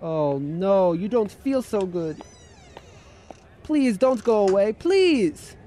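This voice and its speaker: A man's voice: high-pitched, big pitch swings, slow pace, warm tone, slight background noise, heavily acted. A man playing an anxious, kindly bar owner in a radio drama, imploring and fretful. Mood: pleading.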